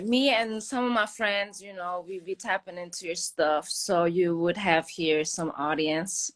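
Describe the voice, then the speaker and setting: A woman from Turkey calling in to a live stream, chatting eagerly and laughing easily. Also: lowish voice. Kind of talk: casual chat.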